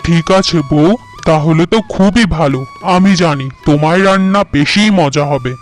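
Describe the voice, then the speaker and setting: Man doing a stern cartoon farmer husband, scolding, high for a man, faint music behind. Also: moderate pace, lightly acted. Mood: pleased.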